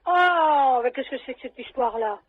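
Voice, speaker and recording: A woman's voice: sits high, animated, moderate pace. A woman in a casual phone conversation, exclamatory and indignant in manner, often repeating herself. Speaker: animal shelter manager answering a phone call. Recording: dull, noisy phone-call recording.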